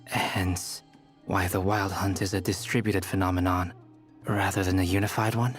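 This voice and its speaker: Actor as fantasy game researcher, man, scholarly, calm, formal, fairly low voice, faint music. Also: natural voice. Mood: calm, analytical. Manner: measured, formal.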